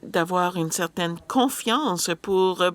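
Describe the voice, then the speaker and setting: A woman's voice: on the low side, slow. A woman interpreting a panel discussion live, hesitant, with frequent filler sounds and restarts.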